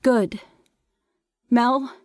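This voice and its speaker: A woman narrator performs a besieged mother in an audiobook story. Her voice is lifted high and insistent, calling out with protective authority. The sound is very dry, with little room around it. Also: fairly even intonation, extremely slow, dry recording. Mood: tender, resolute. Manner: raised, insistent, ordering. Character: besieged mother, urgent, commanding, protective, shouting up to her children. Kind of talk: audiobook character dialogue.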